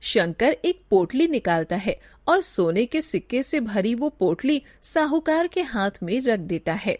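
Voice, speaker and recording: A woman's voice: a little high, very animated, measured pace, darkish tone, no room sound. A woman giving scripted narration of a story as its narrator.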